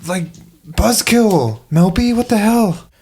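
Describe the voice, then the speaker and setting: Excitable young-sounding male player, high voice, casual cursing. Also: takes it slowly, a little hiss or hum. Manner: loose, swearing, enthusiastic. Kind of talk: casual tabletop play.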